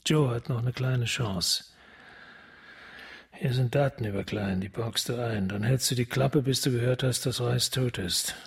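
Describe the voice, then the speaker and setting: An actor playing a weary, driven police detective in a radio drama, gravelly, terse and commanding. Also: male voice, medium pitch, measured pace, exaggerated voice. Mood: hard, insistent. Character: hard-bitten male police homicide detective, weary and driven. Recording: loud guitar music behind.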